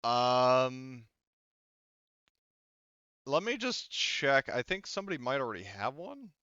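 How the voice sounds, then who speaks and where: Guy game mastering, halting and informal, crisp bright voice, dry slightly dull mic. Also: medium pitch, big pitch swings, no echo. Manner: halting, informal, frequent "like" and "you know". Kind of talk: improvised narration of a tabletop roleplaying game.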